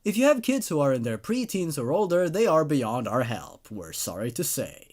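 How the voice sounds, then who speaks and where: Storybook boogeyman, male reader: theatrical salesman monster, animated delivery, raised pitch. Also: normal speed. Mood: brisk pitch. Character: cheesy shapeshifting boogeyman pitching his scare service to parents.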